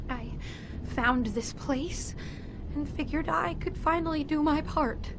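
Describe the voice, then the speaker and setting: A woman acting an earnest, socially awkward robot inventor in a video game, halting and defensive. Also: high-pitched. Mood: remorseful, pleading. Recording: clear background noise and ambience.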